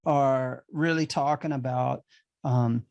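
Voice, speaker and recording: A man's voice: medium pitch, steady tone, slow pace, vocal fry, darkish tone, no room sound, highs cut off. A man hosting a podcast interview, talking earnestly and emphatically in a casual conversational way. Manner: earnest, emphatic, builds to indignation. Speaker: podcast host.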